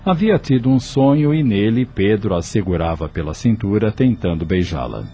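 Deep, expressive male radio drama narrator. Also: moderate pace, slightly rough, soft background music.

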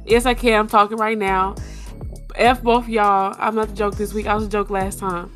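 A woman co-hosting a podcast, trading sassy, quick comebacks in casual banter while losing her voice. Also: mid-range voice, flat delivery, fairly bright tone, faint music behind. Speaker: podcast co-host.

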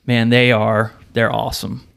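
A middle-aged man with a mid-pitched voice talks casually as a podcast host. His delivery is conversational and unscripted, like someone chatting with people he knows. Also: level tone, unhurried, creaky, fairly bright tone. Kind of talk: casual chat.

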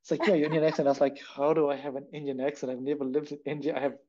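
A man's voice: a little high, level tone, racing, dark tone, highs cut off. A man hosting a podcast, chatting casually and telling stories in a rambling way with many repeated words. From Africa. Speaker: podcast host. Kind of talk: casual interview chat.

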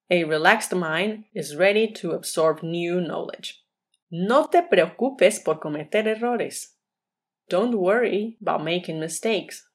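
A woman hosting a bilingual Spanish lesson podcast, speaking with a blend of Mexican and Colombian accents in a calm, measured way and repeating each sentence in English. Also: fairly low pitch. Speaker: Spanish teacher and podcast host.

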